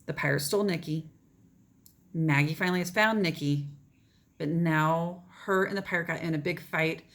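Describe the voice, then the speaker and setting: Expressive woman reading a storybook aloud. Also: sits low, normal speed. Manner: dramatic, often drops to whispers.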